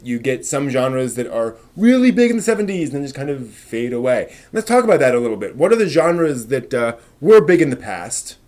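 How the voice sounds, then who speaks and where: A man's voice: medium pitch, a little mellow. A man hosting a live stream, chatting casually with viewers in an informal, rambling way as he thinks aloud.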